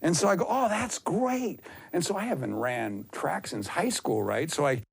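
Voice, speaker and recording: A man in his fifties telling casual stories as an interview guest, excitable and self-deprecating, often rambling and acting out what was said. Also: mid-range voice, fairly quick, gravelly, husky. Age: 50s. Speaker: endurance athlete, interview guest. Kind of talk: casual, anecdotal interview storytelling.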